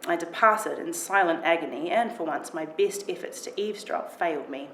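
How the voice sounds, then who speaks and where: A woman with a slightly low voice reads a first-person story expressively. The recording is roomy and echoing, with clear noise behind her. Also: normal speed, a bit bright. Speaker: writer reading own short story.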